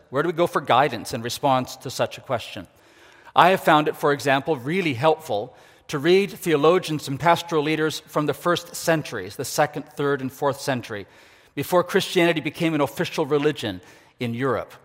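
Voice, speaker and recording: Older man preaching in a reverberant hall. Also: on the high side. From Ecuador. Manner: earnest, measured, reflective. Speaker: guest preacher, university leader. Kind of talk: sermon. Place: church sanctuary. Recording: long reverb of a large hall.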